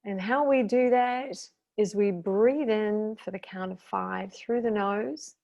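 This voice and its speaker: Female meditation guide: slow, soothing, gentle, mid-pitched, leaves long pauses between brief calming instructions. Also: creaky, muffled recording. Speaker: guided meditation teacher and intuitive therapist.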